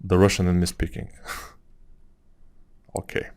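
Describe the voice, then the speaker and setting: A man from Bulgaria, around his thirties, with a Bulgarian accent and a slightly low voice. He talks a 3D sculpting tutorial through in a loose, musing way, drifting off topic and filling pauses as he works. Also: monotone, a little mellow. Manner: rambling, musing, with many fillers. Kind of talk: casual tutorial talk over screen work.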